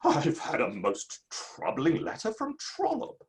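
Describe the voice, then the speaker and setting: A man's voice: a little high, wildly swooping pitch, measured pace, vocal fry, full character voice. A man in a dramatic play reading, playing a proud, aggrieved Victorian writer with a formal, emphatic delivery. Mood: troubled.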